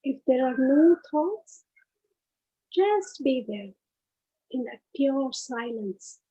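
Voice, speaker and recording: Slow, high-voiced woman guiding meditation. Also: lively intonation, dark tone, husky, highs cut off. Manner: unhurried, short pause-separated phrases. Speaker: meditation guide. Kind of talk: guided meditation.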